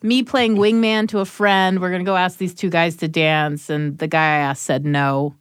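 A woman producer telling a personal story in casual radio chat at a studio desk, animated and quick to laugh. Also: fairly low pitch, smooth, no echo.

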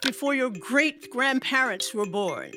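Female storyteller, live folktale delivery, deliberate emphasis, lively range, raised pitch. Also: music in the background. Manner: deliberate, dramatic repetition and emphasis. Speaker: professional storyteller. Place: studio with live audience.

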